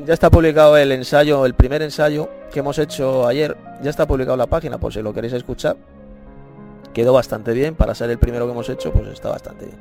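A man with a Spain Spanish accent hosting an online Bible chat room, talking in an unhurried way, often repeating his phrases and calling listeners brothers. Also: medium pitch, darkish tone. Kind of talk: casual preaching and closing remarks.

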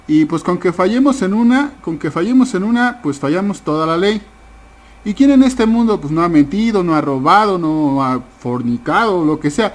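Hesitant man with a higher voice. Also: darkish tone. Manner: hesitant, many fillers and restarts. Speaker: participant in an online Bible study. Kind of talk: casual informal testimony.

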